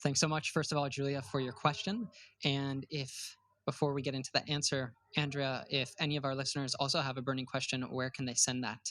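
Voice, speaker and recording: A man's voice: medium pitch, level tone, fairly quick, a bit bright, no echo. Upbeat man hosting a podcast. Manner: upbeat, enthusiastic. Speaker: podcast host. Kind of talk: podcast hosting and promo read.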